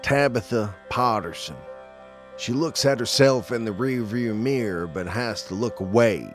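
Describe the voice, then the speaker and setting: A man acting a grim, guilt-ridden dead man who narrates a scripted audio drama in a slow, brooding, deliberate way. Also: mid-range voice, close to an everyday voice. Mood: brooding narration. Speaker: narrator and in-story character. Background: music.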